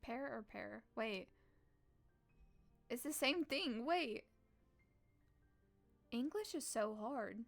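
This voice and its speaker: A woman live streamer reading a visual novel aloud in a playful, expressive way with casual reactions. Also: on the high side, slow pace, gravelly, pauses often, faint music behind.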